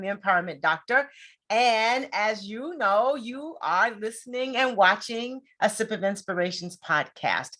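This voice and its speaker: A woman's voice: medium pitch, pushed and bright. An American woman hosting a podcast in a chatty, informal, conversational way. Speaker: podcast host.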